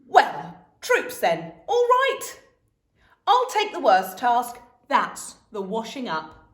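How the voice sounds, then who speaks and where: A woman's voice: fairly high pitch, huge pitch swings, echoey room, heavily acted. A woman reading a storybook and voicing a cheerful, bossy father who gives orders like a sergeant. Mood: jolly commanding. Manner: brisk, commanding, sergeant-like.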